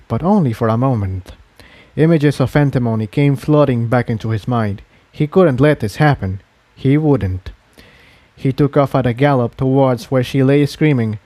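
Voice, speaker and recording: A man's voice: mid-range voice, mellow, no echo. A man reading an adventure story aloud as a scripted dramatic narration. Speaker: fan fiction reader and narrator.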